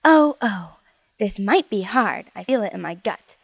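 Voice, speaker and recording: A woman voicing an earnest, excited little boy in a storybook reading, childlike and breathless. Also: medium pitch, unhurried, vocal fry, breathy edge, no echo, pitched above the performer's own voice, heavily acted. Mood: eager.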